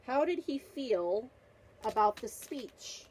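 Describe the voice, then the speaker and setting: A woman's voice: on the high side, animated, takes it slowly, darkish tone, slight background noise, rough recording. A woman teacher reading a picture book aloud to children, with an instructive manner, repeating questions to prompt her young listeners.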